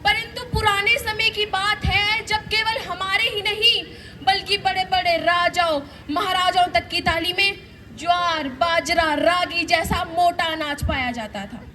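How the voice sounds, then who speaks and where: A woman's voice: extremely high pitch, fairly even intonation, a little slow. A young female narrator declaiming scripted narration in a school stage play. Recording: distant mic, faint stage noise.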